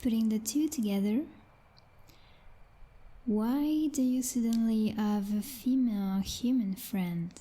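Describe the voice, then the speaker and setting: A woman playing a possessive, jealous female vampire knight in an ASMR roleplay, whispering in a breathy, condescending and coldly menacing way. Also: a little high, mellow, poor audio. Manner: menacing, condescending, deliberate, teasingly formal.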